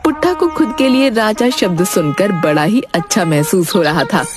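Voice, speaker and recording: A woman's voice: medium pitch, expressive, normal speed, over music. A woman reading scripted narration for a comedy cartoon story.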